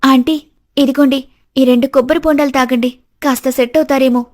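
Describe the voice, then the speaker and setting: A woman storyteller puts on a chatty young woman with a high, lifted voice. She is eager and helpful, as in a cartoon moral story. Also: fairly even intonation, a little fast, a little mellow, lightly acted. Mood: concerned, helpful. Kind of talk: animated moral story dialogue.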